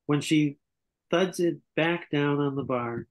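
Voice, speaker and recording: Older man reading stage directions, slightly halting. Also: a little high. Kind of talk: scripted narration at a table reading. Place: indoor room on a video call. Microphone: video call.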